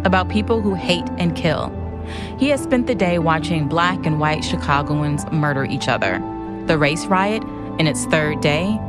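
A female narrator tells history from a script with dramatic weight. Her voice is low for a woman and sits over a loud bed of music.

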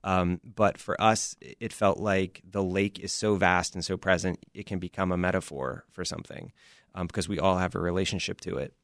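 A man's voice: deep voice, steady tone, brisk, fairly bright tone, dry recording, clean studio sound. A man speaking as an interview guest, explaining his creative work in a conversational way. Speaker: interview guest, audio drama producer.

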